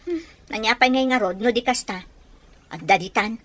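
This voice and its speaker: An actress playing a blunt, reproachful older sister in a radio drama. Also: female voice, fairly high pitch, moderate pace, a bit bright, no room sound, natural voice.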